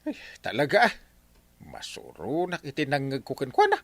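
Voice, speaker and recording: A man's voice: mid-range voice, huge pitch swings, moderate pace, a bit bright, no echo, exaggerated voice. A man playing a blustering, easily offended village husband in an Ilocano comedy radio drama, with an Ilocano accent. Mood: resentful. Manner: blustering, exclaiming, confrontational. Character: hot-tempered village husband, quick to take offence.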